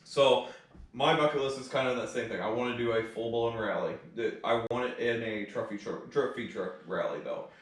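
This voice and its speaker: A man's voice: medium pitch, moderate pace. A man chatting casually and informally as a podcast co-host, with chuckles and plenty of filler phrases. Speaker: podcast co-host leading the topic. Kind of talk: casual chat about cars and racing. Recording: echoey room, distant mic.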